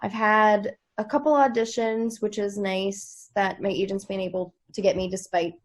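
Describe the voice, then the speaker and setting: A woman's voice: medium pitch, steady tone, moderate pace. Upbeat, chatty woman on a video call. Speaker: actress. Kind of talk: casual chat. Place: remote video call from home.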